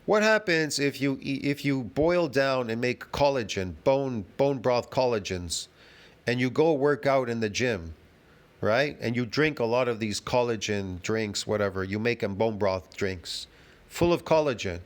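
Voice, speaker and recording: A man's voice: mid-range voice, lively intonation, unhurried. A man giving a ranting fitness commentary, emphatic and repetitive, full of restarts and rhetorical questions.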